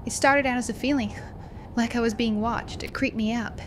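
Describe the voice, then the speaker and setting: A woman's voice: on the high side, steady tone, normal speed, background noise, close to an everyday voice. A woman playing a young student confiding a horror story to her video diary in a dramatic way. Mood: panicked, breathless. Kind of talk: first-person horror audio diary.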